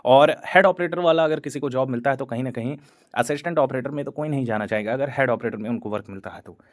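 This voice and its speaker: Guy running an exam news channel, keeps saying uh, mixes english words in, mid pitch. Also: quick pace. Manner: repetitive, hesitant fillers, Hindi-English mix. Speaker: exam coaching channel host. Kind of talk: explainer talk to camera.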